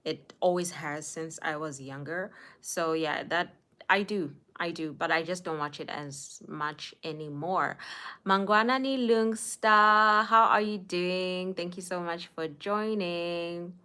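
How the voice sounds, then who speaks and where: A young woman from Zimbabwe chats slowly and warmly into her phone on a casual livestream, reading out viewers' names and answering their comments. Her voice sits a little low for a woman, and she laughs easily between thoughts. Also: steady tone, clear, resonant tone. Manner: chatty, greets viewers by name, laughs often, some whispered asides. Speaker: livestream host. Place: indoors at home.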